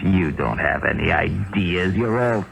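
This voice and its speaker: An actor playing a miserable, sullen young Russian peasant in a 1970s radio drama, plaintive and aggrieved. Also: male voice, deep voice, measured pace, creaky, fairly bright tone, heavily acted. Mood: resentful. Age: young adult.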